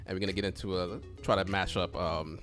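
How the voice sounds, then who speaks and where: A man's voice: deep voice, steady tone, fast, fairly bright tone, faint music behind. A man hosting a live radio show, talking informally with a guest and often circling back to thanks and recaps.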